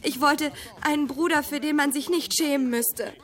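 A woman's voice: sits high, normal speed, close to an everyday voice. An actress playing a troubled young woman in a radio drama, confiding earnestly and hesitantly. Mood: regretful. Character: troubled young woman, ashamed of her brother.

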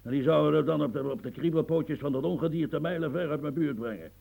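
Gravelly, rambling old marquis. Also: male voice, fairly high pitch, fast, dark tone. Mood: grumbling, reminiscing. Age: older. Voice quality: gravelly, husky. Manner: stammering, repetitive, musing, earthy, prods with "huh". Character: rambling, grumbling old French marquis, retired military officer. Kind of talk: radio play acting.

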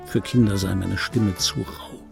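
An actor playing a disgruntled, wry middle-aged dubbing artist who tells his life in a rough voice, as a conversational radio play monologue. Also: low-pitched, fairly even intonation, a little slow, over music, exaggerated voice. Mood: indignant. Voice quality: rough, gravelly. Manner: sardonic, conversational storytelling. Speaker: narrator and lead of a radio play.

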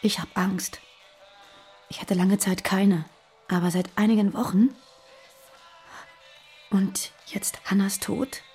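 A woman's voice: medium pitch, steady tone, takes it slowly, long pauses, a little mellow, breathy edge, soft background music. An actress playing a guarded, earnest woman on the run in a naturalistic radio play. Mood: afraid, hesitant. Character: guarded, frightened fugitive terrorist woman living under false names. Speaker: radio drama actor.

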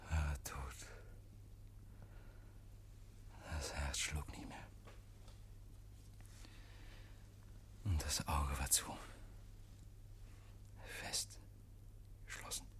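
An actor playing a deranged, obsessive murderer confessing in halting, breathy whispers, as in a 1950s German radio drama. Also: male voice, a bass voice, a little mellow, a little hiss or hum. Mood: grim, drained. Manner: halting, feverishly intense, repeating phrases.

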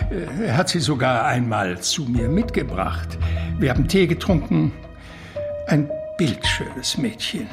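A man's voice: mid-range voice, expressive, unhurried, over music. An actor playing an urbane, talkative and evasive male confidant in a radio drama, with mannered, eloquent phrasing. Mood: flustered, emphatic. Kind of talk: German radio drama acting.

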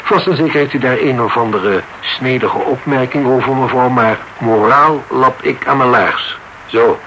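A man's voice: medium pitch, moderate pace, sharp tone. An actor in a radio drama playing a cynical, blunt older businessman with a formal, haughty manner. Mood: defiant, cynical. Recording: noisy radio drama recording.

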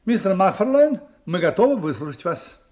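An actor playing a courteous, composed private detective in a radio play, with a polite, measured, assured manner. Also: male voice, high-pitched, sing-song, darkish tone. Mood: composed, insistent.

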